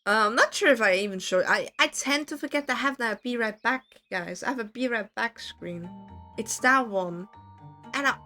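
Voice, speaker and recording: A woman streamer chatting casually with her viewers, her voice worn hoarse from talking too much, rambling and self-deprecating. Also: fairly high pitch, moderate pace, pushed and bright, faint music behind.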